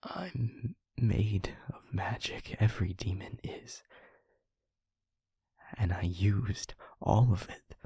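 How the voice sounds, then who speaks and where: Man voicing a breathy, whispering, haunted demon. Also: lowish voice, mellow, no room sound, studio quality, exaggerated voice. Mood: solemn, pained. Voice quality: breathy, husky near-whisper with gravelly edge. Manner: slow, halting, solemn delivery in short phrases. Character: wounded, haunted male demon confessing with tender sorrow. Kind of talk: intimate audio roleplay, dramatic monologue.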